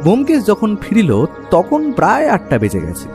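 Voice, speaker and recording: A Bengali man narrates a detective audio story in a dramatic, scripted style. His voice sits fairly high and moves with lively intonation, with music clearly playing underneath. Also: moderate pace.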